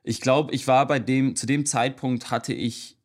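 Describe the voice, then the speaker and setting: A man's voice: medium pitch, steady tone, a little slow. A young man in his twenties, a guest coach talking casually in an interview, hesitant with many restarts and fillers, dropping in English words.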